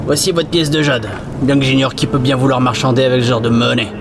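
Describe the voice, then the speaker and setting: An actor playing an aging, courteous and shrewd sea captain and crime baron in an audio drama, with gravelly voice and formal, measured phrasing. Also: male voice, medium pitch, flat delivery, heavy background noise, lightly acted. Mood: courteous, curious. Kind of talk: French audio fiction acting.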